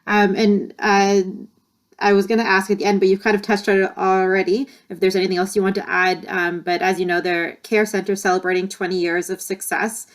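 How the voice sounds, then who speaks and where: A woman with a mid-pitched voice hosts a podcast interview. She asks her questions in a friendly way, with plenty of filler words as she goes. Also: flat delivery, fairly quick. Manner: friendly, uses many fillers. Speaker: podcast host. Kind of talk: interview hosting.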